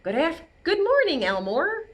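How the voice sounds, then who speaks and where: Woman playing a kindly, animated old porcupine uncle. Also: extremely high pitch, a little slow, pitched above the performer's own voice, heavily acted. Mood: kindly, reassuring. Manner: encouraging, emphatic.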